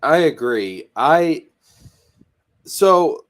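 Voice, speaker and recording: A man hosting a casual anime review, congested from a cold, animated and saying "bro" a lot. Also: fairly high pitch, extremely slow. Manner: animated, stretches words for emphasis, says "bro". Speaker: YouTube host.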